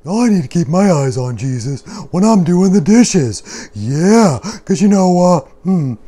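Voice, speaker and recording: A man's voice: sits high, big pitch swings, a little slow, warm tone, full character voice. A man voicing a laid-back, enthusiastic youthful dude puppet in a children's Bible skit, slangy and emphatic. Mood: animated.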